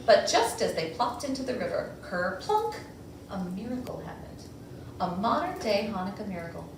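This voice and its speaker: A woman's voice: medium pitch, very animated, normal speed. A woman reading a picture book aloud to children, expressively and with dramatic emphasis. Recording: echoey room, distant mic, faint noise.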